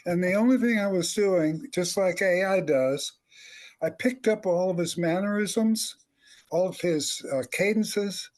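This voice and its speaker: An older man with a somewhat high-pitched voice talks as a veteran writer on a panel. He rambles through wry anecdotes and chuckles as he goes. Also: a little slow, a little mellow. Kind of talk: animated anecdotal panel talk.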